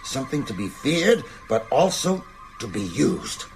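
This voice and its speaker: A man's voice: mid-range voice, sing-song, a little slow, creaky, faint music behind. An actor playing a bedridden, shrewd man who urges on vampire hunters with emphatic, oratorical speech in a radio drama. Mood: grave, brooding. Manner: emphatic, rhetorical, oratorical delivery. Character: bedridden male vampire hunter, shrewd, commanding, urgent strategist.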